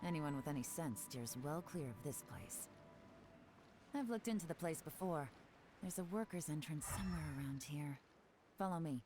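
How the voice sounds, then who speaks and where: A composed, commanding woman spy gives orders in a low, cool voice. Faint game music sits behind her. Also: animated, moderate pace. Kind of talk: video game voice acting.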